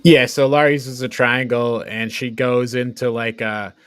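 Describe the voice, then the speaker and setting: A man's voice: medium pitch, level tone, measured pace, clean tone, no room sound. A man co-hosting a podcast, chatting casually and informally as he retells and reviews a movie in loose paraphrase. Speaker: podcast host.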